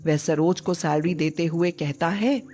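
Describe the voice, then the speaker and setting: A woman's voice: fairly low pitch, measured pace, darkish tone, soft background music. A woman reading scripted narration for a moral story, as a storyteller.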